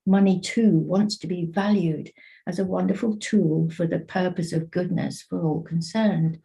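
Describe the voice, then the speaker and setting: A woman reading a prepared statement in an earnest, measured way. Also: on the low side, fairly even intonation, gravelly, dark tone, low-quality recording.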